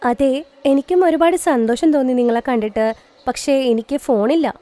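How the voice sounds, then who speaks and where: A woman voicing a shy, soft-spoken young bride from a poor home. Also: high voice, normal speed, lightly acted. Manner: gentle, pleading.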